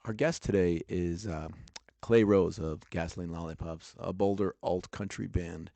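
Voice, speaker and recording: A man's voice: deep voice, fairly even intonation, moderate pace, vocal fry, warm tone, no echo. A man hosting a music podcast, talking casually with a noticeable stutter. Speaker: podcast host.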